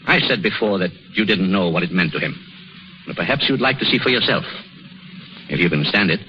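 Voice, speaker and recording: A commanding senior surgeon in a 1930s radio play. He speaks quickly in a lowish voice, clipped and authoritative. The sound is muffled and old. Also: expressive. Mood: firm, challenging. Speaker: radio drama actor. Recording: muffled 1930s radio recording, faint noise.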